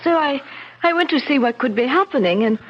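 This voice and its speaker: An actress playing an excitable young foreign woman in a 1970s radio drama, speaking in halting, breathless phrases on a muffled old broadcast. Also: high-pitched, slight background noise. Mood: shaken.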